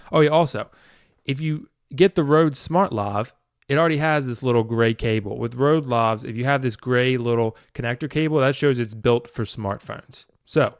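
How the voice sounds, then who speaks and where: Clear-voiced man giving a casual walkthrough. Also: mid-range voice, dry recording, clean studio sound. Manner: informal, rambling, talking through a demonstration. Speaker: video tutorial host, hobbyist. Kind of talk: casual unscripted product walkthrough.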